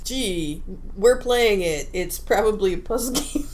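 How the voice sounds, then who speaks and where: A woman's voice: mid-range voice, animated, a little slow, darkish tone, slight background noise, poor audio. A woman co-hosting a podcast, chatting casually about video games in an informal, jokey, rambling way. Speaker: podcast co-host.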